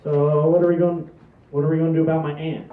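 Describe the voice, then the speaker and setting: A man's voice: a little high, level tone, quick pace, close to an everyday voice. A man playing a harried, sarcastic son fed up with his family in an amateur script reading. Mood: uneasy. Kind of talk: amateur script table read. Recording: tinny, echoey, distant mic.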